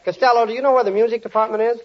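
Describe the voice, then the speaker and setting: An actor playing an eager, muddled band leader in 1940s radio comedy. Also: male voice, extremely high pitch, steady tone, very fast. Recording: muffled 1940s radio recording.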